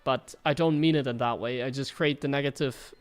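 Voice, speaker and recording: Young German-accented man, native German speaker, streamer, smooth mid-pitched voice, rambling and self-critical, faint music behind. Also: fairly even intonation, a little fast. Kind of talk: casual commentary on his own gameplay.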